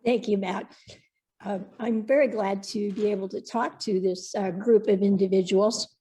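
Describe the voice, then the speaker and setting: A soft-spoken woman legislator speaking unhurriedly on a panel. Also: mid-range voice, steady tone, moderate pace, breathy edge. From Montana, USA. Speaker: state legislator. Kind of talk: panel talk over video.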